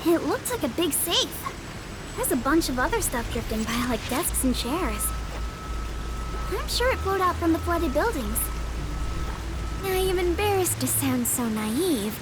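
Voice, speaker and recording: A woman's voice: very high-pitched, big pitch swings, a little slow, pauses often, music in the background, full character voice, poor audio. A woman playing a polite, curious, naive young woman in a video game. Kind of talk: English video game voice acting.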